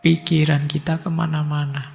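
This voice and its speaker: A man's voice: a little high, level tone, normal speed, creaky, darkish tone, long reverb tail, faint music behind. A man giving a chatty religious lecture, slipping in words and acting out people's worried thoughts.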